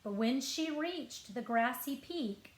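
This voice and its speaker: A woman reading a picture book aloud to children as a librarian, with expressive, deliberate phrasing. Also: high-pitched. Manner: expressive storytelling, clear deliberate phrasing, asks listeners questions. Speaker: school librarian. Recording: roomy echo.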